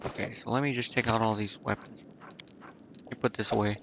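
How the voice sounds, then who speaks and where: A man's voice: lowish voice, flat delivery, fairly quick, creaky, background noise. A man streaming a video game, thinking aloud in a casual, hesitant way as he mutters to himself.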